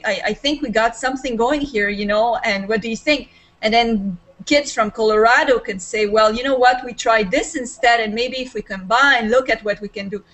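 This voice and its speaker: A woman's voice: a little high, a bit bright, rough recording. A woman in her forties speaks with a Serbian accent over a webcam, sitting in a small room at home. Her talk is earnest and unscripted, and she sounds enthusiastic about what she is explaining. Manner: enthusiastic, gestures with both hands. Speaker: panelist in a video call talk. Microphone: webcam on a video call.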